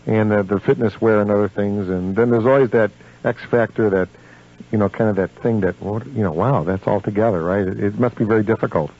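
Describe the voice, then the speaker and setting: A man's voice: lowish voice, brisk. An American man hosting a casual radio interview, chatting in an informal, folksy way and repeating himself. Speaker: radio host. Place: radio show. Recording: dull lo-fi radio recording.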